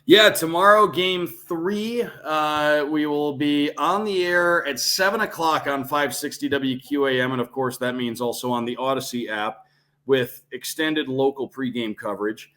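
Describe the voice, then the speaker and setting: A man's voice: on the high side, measured pace, sharp tone. A man who works as a sports radio broadcaster, explaining details fluently in a conversational podcast guest style.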